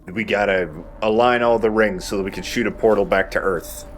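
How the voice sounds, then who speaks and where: A man's voice: lowish voice, a little fast. A man, a young adult, hosting a Let's Play with casual, rambling, jokey game commentary. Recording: clear steady background noise.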